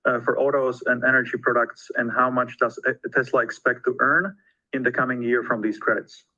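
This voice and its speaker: A man's voice: mid-range voice, steady tone, brisk, bright, forceful tone. A man moderating a corporate call, reading out investor questions in a businesslike way. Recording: dull, radio-like conference call audio.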